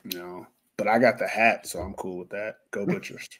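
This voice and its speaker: A man's voice: low-pitched, fairly even intonation, moderate pace, a bit bright. A man hosting a sports podcast, chatting casually in a rambling, jokey, self-mocking way. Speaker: podcast host. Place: lives in Finland.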